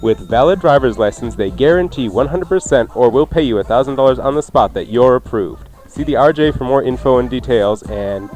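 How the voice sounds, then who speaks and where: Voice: mid-range voice, brisk, hardly any pauses, a little mellow, soft background music. A radio co-host in casual, chatty on-air banter and sponsor plugs.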